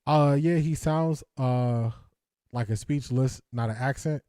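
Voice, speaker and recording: A man's voice: mid-range voice, unhurried, dark tone, smooth, no echo, studio quality. A man hosting a casual sports talk stream, reading viewer comments and answering with frequent restarts. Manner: hesitant, many restarts and fillers, laughs. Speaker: sports talk show host.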